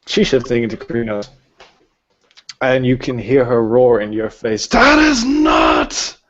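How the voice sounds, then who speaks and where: A man's voice: medium pitch, level tone, normal speed, darkish tone, dull audio. A man acting as game master, narrating a tabletop roleplaying game.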